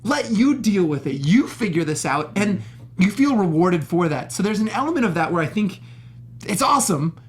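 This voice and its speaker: A man co-hosts a casual film discussion in a somewhat high voice. He is opinionated and wanders through his points in a loose, conversational way. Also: big pitch swings, normal speed. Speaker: co-host of a film review show.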